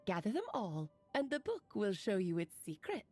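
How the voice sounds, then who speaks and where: A woman's voice: medium pitch, sing-song, moderate pace, faint music behind. A woman playing a playful, teasing witch aunt in an anime game, with whimsical, theatrical airy confidence. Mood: explaining, playful.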